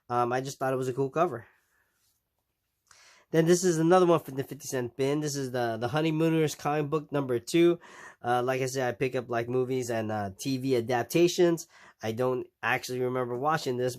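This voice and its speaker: A man with a nasal voice from a recent cold, casually showing his purchases in a rambling vlog with many ums and restarts. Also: medium pitch. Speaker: comic collecting vlogger. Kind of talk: casual show-and-tell vlog.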